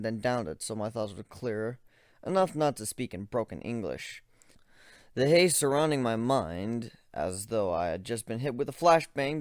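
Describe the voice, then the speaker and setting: Guy reading a story out loud dramatically, really lively pitch, dry close sound. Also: dry recording. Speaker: narrator of a fan fiction dramatic reading.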